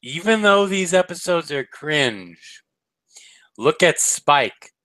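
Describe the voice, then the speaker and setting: A man's voice: on the high side, very animated, slow pace, sharp tone, no echo. A man co-hosting a casual review chat, wry and blunt with jokes. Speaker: co-host.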